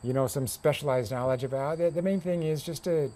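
A middle-aged American man, a farmer and winemaker, explains his work casually and haltingly in an interview. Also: a little high, warm tone. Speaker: grape grower and winemaker being interviewed. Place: outdoor covered porch beside a pond. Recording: faint outdoor insect noise.

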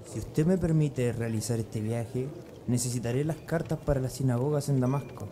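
An actor playing a zealous, formal young persecutor in a religious radio drama, deliberate and earnest. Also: male voice, mid-range voice, a crowd in the background, close to an everyday voice.

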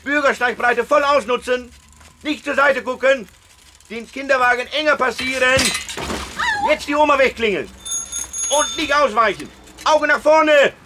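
An actor playing a brash, blunt bike-courier trainer in a radio comedy, colloquial, self-righteous and exasperated. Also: male voice, very high-pitched, noisy background. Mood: urgent, barking. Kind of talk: radio comedy sketch, colloquial interview and shouted coaching.

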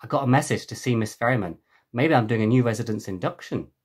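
A man's voice: medium pitch, fast, darkish tone, slightly rough, lightly acted. A man voicing a cheeky, playful boy adventurer in a storybook, bantering and teasing. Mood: curious.

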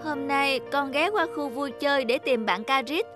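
High-voiced earnest little boy, acted by a woman. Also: normal speed, natural voice. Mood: anxious. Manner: earnest, pleading, polite. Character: earnest, sensitive young boy devoted to his mother. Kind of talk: Vietnamese animated moral story dubbing. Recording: music behind the voice.

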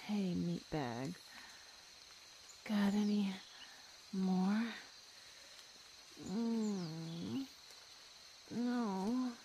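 A woman playing a hungry, teasing feline monster girl in an ASMR audio roleplay, speaking with slow menace, hums and sighs. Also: medium pitch, mellow, slight background noise. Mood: teasing, curious.

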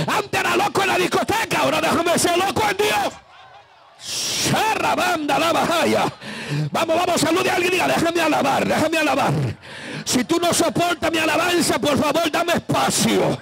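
High, gravelly man preaching fervently. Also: a bit bright. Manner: impassioned, exclamatory, repeating phrases for emphasis; breaks into speaking in tongues and calls on listeners to respond. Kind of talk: fervent Pentecostal sermon.

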